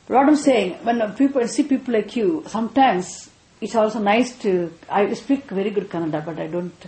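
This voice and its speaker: A woman's voice: mid-range voice, dark tone, reverberant, low-quality recording. Tamil-accented Indian woman teaching Vedanta. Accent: Indian English, Tamil. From Tamil Nadu, India. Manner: checks with listeners often, repeats key phrases. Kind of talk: spiritual class talk.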